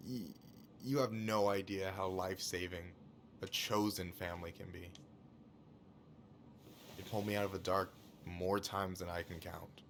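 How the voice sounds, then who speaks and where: Young man, deep-voiced, open-hearted and casual, for naturalistic video game dialogue. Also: fairly even intonation, normal speed, a little hiss or hum. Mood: warm, earnest. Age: young adult. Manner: warm, casual, earnest.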